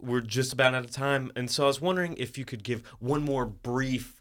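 A man with a medium-pitched voice hosts a radio interview. His phrasing is measured and halting, as he feels his way through each question. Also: fairly even intonation. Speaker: radio host. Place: radio studio.

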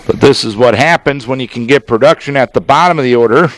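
A man with an American accent giving live baseball play-by-play on the radio, in measured, descriptive phrases with pauses between them. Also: mid-range voice, slight background noise, low-quality recording. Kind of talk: live sports play-by-play commentary.

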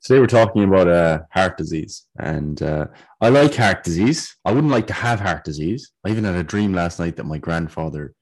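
A young man co-hosting a podcast, a trainee doctor explaining health topics in a measured, casual way. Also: deep voice, animated, a little mellow. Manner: explanatory, measured, occasional fillers.

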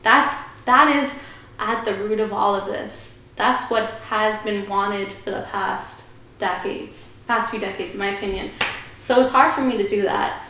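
A woman's voice: medium pitch, a little slow, bright, forceful tone, slight background noise. A woman speaking as a podcast guest, giving earnest, reflective opinions in conversation. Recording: very echoey room.

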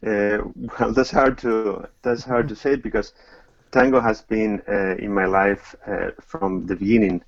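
A middle-aged Argentine man answers interview questions in a low voice, pausing often and restarting his sentences. His audio sounds dry and slightly dull, like a lo-fi remote connection. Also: steady tone, no room sound. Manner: hesitant, many fillers and restarts. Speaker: tango teacher, guest.

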